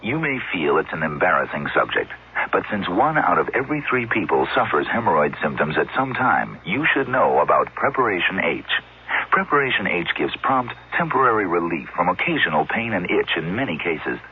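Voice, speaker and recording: A man's voice: low voice, big pitch swings, fairly quick, breathy edge. A man reading a scripted radio advertisement in a matter-of-fact, reassuring announcer style. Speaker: commercial announcer. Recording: narrow-band vintage radio broadcast.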